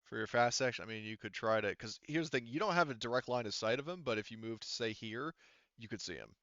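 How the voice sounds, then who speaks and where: A man's voice: mid-range voice, fast, a bit bright, no room sound, highs cut off. A man with an informal, sweary way of talking, running an online tabletop roleplaying game as game master.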